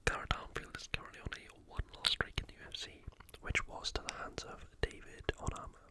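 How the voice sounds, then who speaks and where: An ASMR host speaking entirely in whispers, giving informal, unscripted sports preview commentary and thinking aloud. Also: a little fast.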